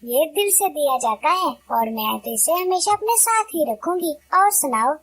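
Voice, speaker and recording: A woman's voice: sits high, expressive, normal speed, fairly bright tone, no room sound. A woman voicing a naive, trusting young female bird in a cartoon, innocent and easily persuaded.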